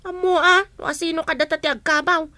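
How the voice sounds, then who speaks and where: High, sharp sassy Ilocano housemaid played by an actress. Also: female voice, steady tone, fairly quick, no room sound, clean studio sound. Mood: combative. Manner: taunting, sassy. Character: sassy, combative housemaid taunting and demanding her wages. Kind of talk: radio drama acting.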